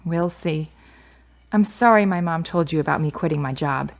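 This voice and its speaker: A woman's voice: deep voice, normal speed. A woman playing a candid, reflective, grieving daughter of about thirty in a radio drama. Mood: subdued, candid.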